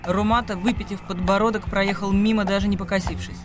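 A male radio play narrator with a high voice. He tells the story in a dramatic literary manner, with music clearly playing underneath. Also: level tone, a little fast.

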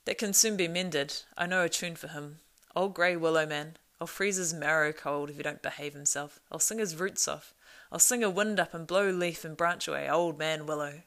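A woman's voice: low voice, normal speed, hardly any pauses, fairly bright tone. A woman voicing a jolly, boisterous old man of the woods from a storybook, with a rhyming, sing-song and exclamatory delivery. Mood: indignant, confident. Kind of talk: storybook character voice.

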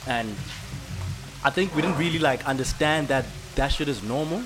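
A man's voice: fairly high pitch, normal speed, over music. A man, co-hosting a podcast in reflective casual chat. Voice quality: mellow voice. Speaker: podcast co-host.

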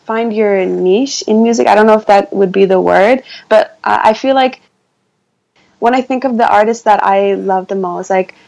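A woman with a soft voice, talking in a casual interview as a guest singer, hesitant and full of fillers. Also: mid-range voice, steady tone, moderate pace, gravelly. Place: near her piano.

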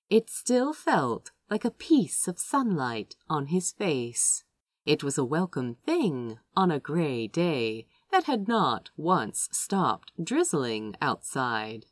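A woman reading a fantasy story aloud as expressive, dramatic scripted narration. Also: low-pitched, no echo, clean studio sound. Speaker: fan fiction reader.

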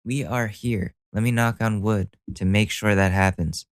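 A man's voice: lowish voice, fairly even intonation, measured pace, no room sound, studio quality. Stuffy young man rambling on his podcast. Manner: rambling, self-deprecating, swears casually. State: stuffy nose. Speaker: podcast host. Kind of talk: casual solo podcast monologue.

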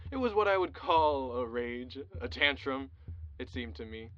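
A man, a chaplain, recounting events for an oral history in deliberate, formal phrasing. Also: medium pitch, lively intonation, fairly bright tone, no room sound. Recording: dull archival interview tape.

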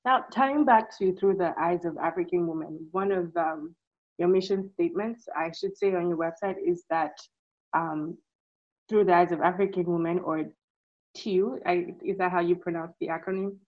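A young woman hosts an interview in a slightly low voice, encouraging and quick to affirm. Her audio is somewhat dull and muffled, as if through a modest mic. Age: young adult. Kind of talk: casual interview hosting.

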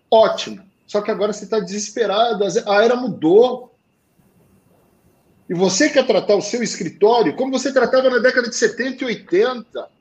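Man, Portuguese accent, blunt slangy delivery, animated swings, slightly high pitch. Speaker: sales coach for accountants. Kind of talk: live talk show advice.

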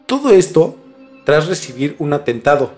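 A man's voice: on the high side, big pitch swings, normal speed, darkish tone, soft background music. A young Mexican man telling a story as a podcast host in a casual, slangy conversational way. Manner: informal, slangy.

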